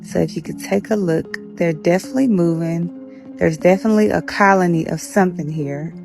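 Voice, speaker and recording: A woman's voice: fairly low pitch, a little fast, creaky, music in the background. A woman narrating a video in a casual way.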